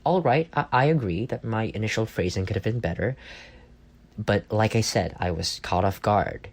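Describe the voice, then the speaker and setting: A man playing an arrogant, sardonic office rival with a soft spot, in an intimate roleplay audio drama. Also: fairly low pitch, normal speed, gravelly. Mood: flustered, defensive. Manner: teasing, sardonic, stammers when caught off guard. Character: arrogant, bantering male office rival turned flustered admirer.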